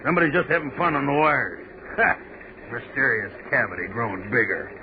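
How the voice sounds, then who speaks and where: A man's voice: medium pitch, brisk, narrow, tinny audio, lightly acted. A man playing a skeptical, wisecracking newsroom chief in a 1940s radio drama, on a noisy old recording. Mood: sardonic, dismissive. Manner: sardonic, slangy.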